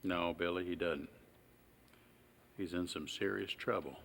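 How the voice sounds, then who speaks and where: An actor playing a low-key local sheriff who talks in a folksy, unhurried, needling way in a mystery film. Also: male voice, steady tone, a bit bright, exaggerated voice. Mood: dry, settled. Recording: clear background noise on location.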